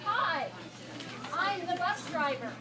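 Woman as a high-voiced, friendly bus driver. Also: expressive, takes it slowly, a loud crowd, exaggerated voice. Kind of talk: children's puppet show storytelling. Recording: echoey noisy room from far off.

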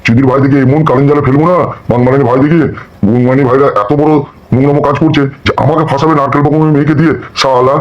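A man's voice: mid-range voice, steady tone, very fast, natural voice. A man voicing a boastful, crooked politician in a comic cartoon, scolding and swearing on dull audio. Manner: scolding, swaggering, curse-laden. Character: bullying, boastful crooked politician and gang boss. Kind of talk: amateur comic cartoon dialogue.

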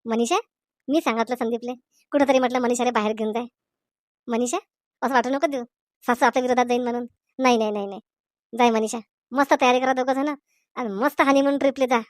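A woman's voice: a little high, fairly even intonation, fast, long pauses, no room sound, clean studio sound, natural voice. A woman voicing a kindly middle-aged mother-in-law in a comedy cartoon, supportive and reassuring, with a rural Maharashtrian accent. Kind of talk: Marathi comedy cartoon dialogue.

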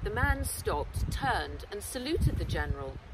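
A middle-aged woman reading a children's adventure story aloud with dramatic, deliberate emphasis, recorded outdoors. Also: medium pitch, very animated. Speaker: author reading own book aloud. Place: outdoors in woodland. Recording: distant mic, noisy outdoor ambience.

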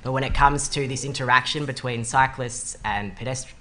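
A young man answering as a candidate at a public forum, earnest and measured, speaking into a desk mic in a meeting room. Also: medium pitch, steady tone, pushed and bright. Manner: earnest, measured, some restarts. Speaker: political candidate on a panel. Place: meeting room with panel table. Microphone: desk microphone on stand.

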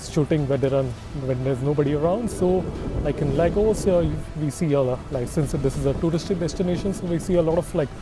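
A man's voice: on the high side, brisk, music in the background, low-quality recording. A man in his thirties from India, talking casually to camera outdoors, hesitant with many fillers. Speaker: business agent in Portugal. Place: outdoors in a city street. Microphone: phone at arm's length.

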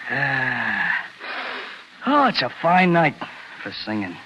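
An actor playing a carefree jazz musician who talks in hep slang, in 1940s radio drama. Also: male voice, medium pitch, big pitch swings, slow, bright, forceful tone, full character voice. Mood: mellow, playful. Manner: hep slang, scat singing, chuckling. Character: carefree, tipsy-sounding jive-talking jazz musician, late at night. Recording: noisy old 1940s radio recording.

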